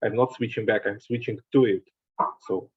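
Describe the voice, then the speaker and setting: A man's voice: fairly low pitch, steady tone, moderate pace, husky. A man, not a native English speaker, hosting a conversational discussion, stumbling and repeating words as he searches for them. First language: Ukrainian. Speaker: host. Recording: dull lo-fi audio.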